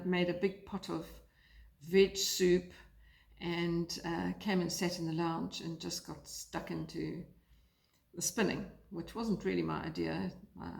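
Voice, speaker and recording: Croaky woman chatting in an echoey lounge. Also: fairly low pitch. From South Africa. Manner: rambling, hesitant, many fillers. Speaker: knitting vlogger. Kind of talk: casual chat to camera. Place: lounge by a fireplace, indoors. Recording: echoey room.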